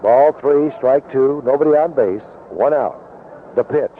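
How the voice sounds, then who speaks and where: A man's voice: mid-range voice, a little slow. An American man calling baseball play-by-play in the style of 1970s radio commentary. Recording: tinny noisy vintage AM radio broadcast.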